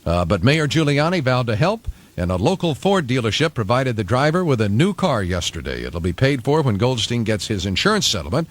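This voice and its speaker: Male radio newsman with lively, varied pitch. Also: a little fast. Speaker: radio news anchor. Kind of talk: scripted local news reading. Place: radio studio.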